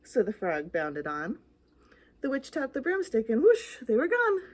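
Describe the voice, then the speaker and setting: A woman with a fairly high voice reads a children's story aloud. Her delivery is expressive and rhythmic, leaning dramatically into the rhymes. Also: measured pace. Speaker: library storytime reader.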